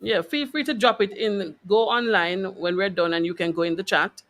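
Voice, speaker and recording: A woman's voice: medium pitch, normal speed, fairly bright tone. A woman hosting a faith-based radio show in a conversational interview style.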